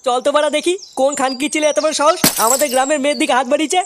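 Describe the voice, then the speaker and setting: A swaggering young village muscleman voiced by a man with a high, quick voice. He is a crude, boastful, hot-headed comedy-cartoon tough on a noisy track. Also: steady tone. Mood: outraged. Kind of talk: foul-mouthed comedy cartoon dialogue.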